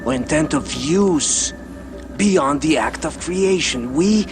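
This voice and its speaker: Old Yiddish-accented scholar over loud music. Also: male voice, fairly high pitch, expressive. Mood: eager, teaching. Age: older. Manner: halting, repeats words, peppers talk with Yiddish. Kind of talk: video game cutscene acting. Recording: game audio under loud music.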